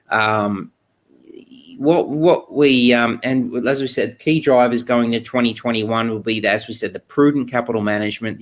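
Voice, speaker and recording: A man in his thirties who grew up abroad and identifies as Australian, presenting in a video-call webinar with lots of fillers and restarts. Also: mid-range voice. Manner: halting, many fillers and restarts. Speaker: exchange research presenter. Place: indoor room, video call. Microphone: webcam video call.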